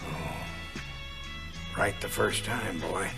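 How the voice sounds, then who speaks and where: An actor playing an irritable, sardonic small-town lawman in a radio drama, with a gravelly voice. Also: low-pitched, slow, loud music behind the voice, exaggerated voice. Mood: dry.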